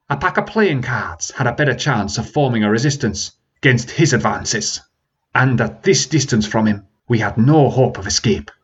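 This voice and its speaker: A man's voice: mid-range voice, breathy edge, lo-fi sound. A man doing an old Scottish warrior spinning a tale. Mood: wry. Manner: lively storytelling with dialect words.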